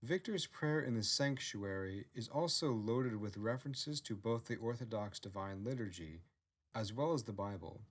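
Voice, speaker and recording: A man's voice: on the low side, lively intonation, normal speed. A man reads scripted narration as the author of the written work. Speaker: author narrating own novel.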